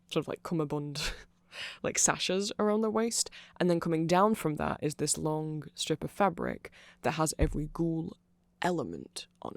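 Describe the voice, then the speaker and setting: Creaky-voiced man rambling in a dry ASMR recording. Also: on the high side, dry recording. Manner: rambling, self-correcting, chuckling asides. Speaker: ASMR creator explaining band lore.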